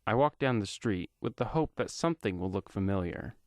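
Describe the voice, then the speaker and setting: A man's voice: on the low side, expressive, fairly quick, a little mellow, dry recording, studio quality. A man narrating a scripted first-person action story.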